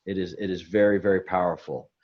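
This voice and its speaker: Man, low voice, rambling spiritual chat over a muffled call line, restarts and repeats. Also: fairly even intonation, fast talker. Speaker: guest on a meditation talk.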